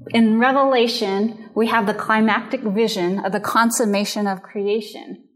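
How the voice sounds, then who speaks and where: A woman's voice: fairly high pitch, steady tone, poor audio. Earnest woman teaching biblical counseling. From Japanese and American background. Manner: earnest, measured, explanatory. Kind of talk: recorded lecture.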